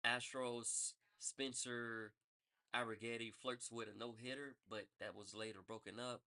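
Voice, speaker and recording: Casual man with a bright voice. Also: medium pitch, fairly even intonation, a little slow. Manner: loose, rambling, corrects himself mid-thought. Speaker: sports podcast host. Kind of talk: casual sports news rundown.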